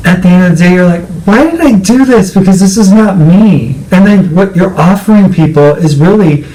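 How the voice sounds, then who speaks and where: Reflective man with a higher voice. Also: normal speed, mellow, low-quality recording. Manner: reflective, searching for words. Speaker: co-host. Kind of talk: personal conversation.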